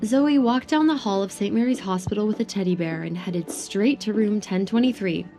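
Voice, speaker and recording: A woman's voice: mid-range voice, moderate pace, dark tone, faint music behind. A woman narrating a dramatic scripted story as an audio drama narrator.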